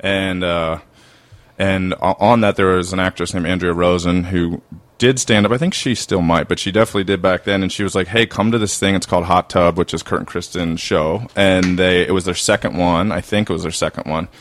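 A man with a Baltimore, Maryland accent, telling rambling, animated stories as a guest on a comedy podcast. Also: low-pitched, a little fast. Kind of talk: casual storytelling.

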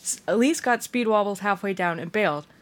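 A woman's voice: mid-range voice, fairly quick. A woman co-hosting a casual podcast, telling stories with a lot of swearing and laughter. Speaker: podcast co-host.

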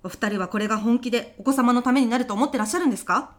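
A woman plays a veteran female interviewer in a comedy radio sketch. Her voice sits fairly high, and her wording is formal and courteous, with exasperation never far away. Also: racing, natural voice. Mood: exasperated. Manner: formal, polite business speech. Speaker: job interviewer. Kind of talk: audio comedy sketch acting.

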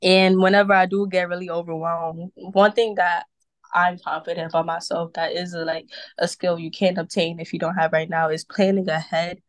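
A young woman from Brooklyn talks, upbeat and chatty, her sentences threaded with casual fillers. Her voice sits a little low for a woman. The sound is dry and close, with no room echo. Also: flat delivery, normal speed. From Brooklyn, New York. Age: young adult. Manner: chatty, upbeat, with many "like" and "you know" fillers. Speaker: podcast guest, model and event host. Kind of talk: casual interview chat.

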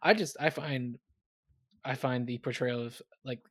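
A man co-hosting a casual film podcast, relaxed and enthusiastic, with frequent ums. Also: mid-range voice, a little slow, no echo, clean studio sound. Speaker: podcast co-host.

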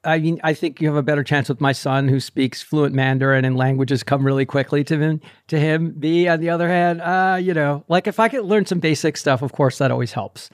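Older guy in his 60s hosting a podcast over zoom, upbeat and loose, kinda high voice. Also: brisk, few pauses, no room sound, studio quality. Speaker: podcast host. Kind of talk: casual interview chat. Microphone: video call.

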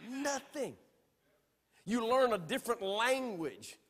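A Southern man from Alabama preaching in a church. He has a high voice, and the long reverb of the sanctuary hangs after his words. He swings from loud, emphatic lines to soft, quiet ones. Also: sing-song, slow pace. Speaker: pastor. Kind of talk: sermon.

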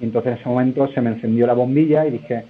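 A man's voice: mid-range voice, fairly even intonation, quick pace. A man, a guest entrepreneur answering interview questions in a loose, rambling way full of hesitations. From Spain. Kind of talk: conversational interview. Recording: narrow phone-line sound.

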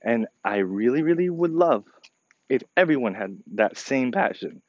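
A man's voice: mid-range voice, lively intonation, no room sound, highs cut off. Earnest man with a slightly crackly voice. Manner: halting, with many fillers and restarts; earnest, repeats himself to stress points. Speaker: company president addressing staff. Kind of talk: informal podcast address to employees.

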